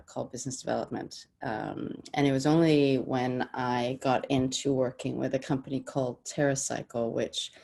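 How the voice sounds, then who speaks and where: A woman's voice: a bass voice, a little mellow. A woman with an American accent, a panelist on a video call, chatting casually about her career with many fillers and frequent laughs. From half Danish, half Swedish, raised partly in the US. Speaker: panelist, business development director.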